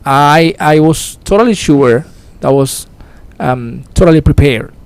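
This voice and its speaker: Man, radio host, gravelly voice, casual halting chat. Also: a little high. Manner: friendly, laughs often, halting English. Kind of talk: casual on-air chat in English.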